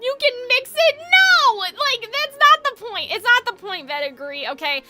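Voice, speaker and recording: A woman giving casual live gaming commentary in an animated, rambling, opinionated way. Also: extremely high pitch, normal speed. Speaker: game streamer. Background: game music.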